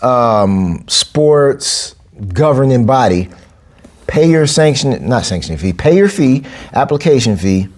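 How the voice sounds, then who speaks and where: A man, hosting a solo podcast as a boxing and capoeira coach. He talks emphatically, repeating phrases and jumping up in pitch to drive points home. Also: darkish tone. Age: 40s. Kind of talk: casual solo podcast monologue.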